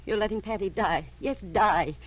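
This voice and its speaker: Woman acting reproachful, insistent woman, dramatic radio melodrama, mid-pitched, dull vintage recording. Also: normal speed, a little hiss or hum, close to an everyday voice. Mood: indignant, pleading. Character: emotional, reproachful woman pleading for a dying girl.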